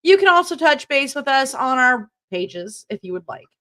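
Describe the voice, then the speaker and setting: High bright gal cohosting a podcast, chatty, trips over her words reading the ads. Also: sing-song, normal speed. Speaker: podcast co-host. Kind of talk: casual chat and show announcements.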